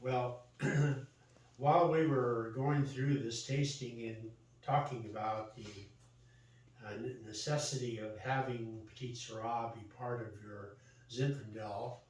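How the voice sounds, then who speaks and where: An older American man telling a personal story casually, in a halting, reminiscing way. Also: medium pitch, steady tone, a little mellow. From Central Valley, California, United States. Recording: roomy echo, distant mic, faint noise.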